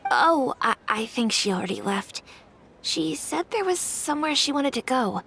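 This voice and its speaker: A woman's voice: high voice, big pitch swings, normal speed, gravelly, a bit bright, slight background noise, exaggerated voice. A woman playing a helpful, hesitant event participant in a video game. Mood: uncertain, helpful. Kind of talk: video game character dialogue.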